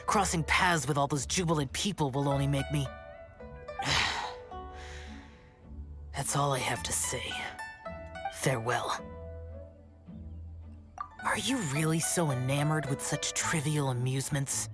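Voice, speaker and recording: A man's voice: mid-range voice, measured pace, long pauses, over music, natural voice. A man playing an aloof, brooding young immortal guardian warrior in a video game, speaking in a solemn, formal and disdainful manner. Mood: bitter.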